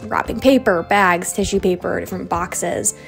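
A woman's voice: fairly low pitch, moderate pace, gravelly. A young American woman giving a casual voice-over narration as a cleaning vlogger. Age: young adult. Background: background music.

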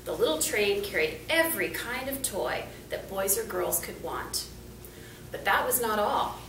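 A woman reads a children's picture book aloud with lively, rising and falling intonation. She is recorded from a little distance in an echoey small room, with faint background noise. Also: mid-range voice, measured pace. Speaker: volunteer storybook reader. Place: small indoor room, seated. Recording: roomy, distant camera mic, faint noise.

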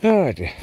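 A man's voice: medium pitch, breathy edge. Rambling man on a night dog walk. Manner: rambling, reflective, calls out loudly to his dogs. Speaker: vlogger walking his dogs. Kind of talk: casual walk-and-talk monologue. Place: park path at night, outdoors. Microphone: handheld camera while walking.

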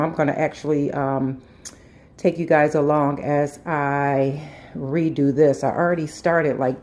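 A woman vlogger talking casually to her viewers in a halting, unscripted way, often circling back on herself as she shows her house. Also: low voice, a little mellow. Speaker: home and lifestyle vlogger. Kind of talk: casual chat to viewers during a house tour. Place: inside a house, walking between rooms and sun porch.

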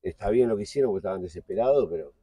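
A man's voice: fairly low pitch, expressive, dark tone, husky. Blunt slangy porteño man in his forties. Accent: Buenos Aires Spanish. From Argentina. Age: 40s. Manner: blunt, slangy, halting with restarts. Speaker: guest, TV producer. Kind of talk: candid casual interview. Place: outdoor park table.

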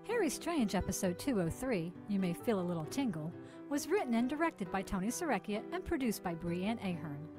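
Female announcer for scripted credits; expressive intonation, clear music bed. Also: mid-range voice, brisk.

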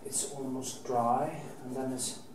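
Norwegian-accented painter, middle-aged; rambling restarts, casual swearing; distant camera mic, faint room hiss. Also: male voice, mid-range voice, level tone, slow pace, darkish tone, roomy sound. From Norway. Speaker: painting teacher. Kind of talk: painting demonstration. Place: painting studio, indoors.

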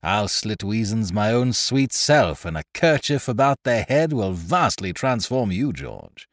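A man's voice: on the low side, big pitch swings, measured pace, no room sound, studio quality, voice pushed up. A man voicing a reckless, jovial male sea adventurer who speaks in swaggering, old-fashioned seafaring talk. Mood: mocking. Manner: swaggering, archaic seafaring speech.

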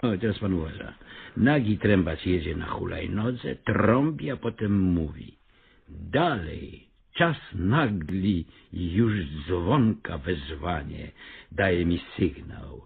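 An actor playing a pompous, excitable avant-garde theatre director in a radio comedy, stammering and grandiloquent. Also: male voice, low-pitched, slow pace, vocal fry, breathy edge, phone-quality sound, full character voice. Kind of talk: radio comedy play acting.

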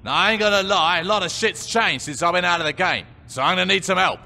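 An actor playing a tough, dry-humoured gang boss in a video game cutscene, speaking bluntly with plenty of swearing. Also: male voice, high-pitched, fast talker, vocal fry, long reverb tail, very noisy. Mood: commanding.

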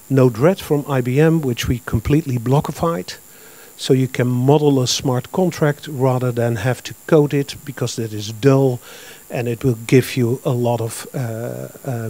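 A man in his fifties with a Dutch accent, giving a business presentation at a conference through a handheld microphone, talking plainly and filling pauses with uh and um. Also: mid-range voice, a little mellow, dry recording. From Netherlands. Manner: plain, matter-of-fact, with frequent uh and um. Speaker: conference speaker. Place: large conference hall with audience.

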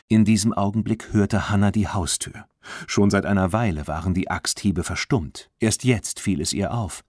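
A male audiobook narrator with a fairly low voice tells a children's story. His reading is scripted and expressive, recorded very dry with no room sound. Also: no echo.

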